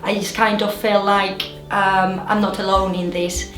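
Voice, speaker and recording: A woman in her thirties with a Spanish accent, speaking earnestly and in a measured way as an interviewee reflecting on her life abroad. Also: medium pitch, little pitch movement. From Spain. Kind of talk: personal reflection. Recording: roomy, music behind.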